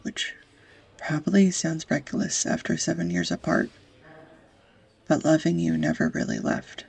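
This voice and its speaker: A young woman acting a tender, easily flustered sweetheart in an intimate romantic audio roleplay, with a husky, breathy, hesitant voice. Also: low voice, darkish tone, heavily acted, rough recording. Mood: tender, earnest. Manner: hesitant, confessional, breaking into whispers.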